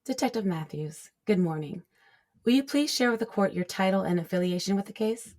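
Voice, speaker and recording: A woman playing a stern, accusatory prosecutor in a scripted courtroom drama, with a formal, measured, pointed delivery. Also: mid-range voice, a little mellow, lightly acted.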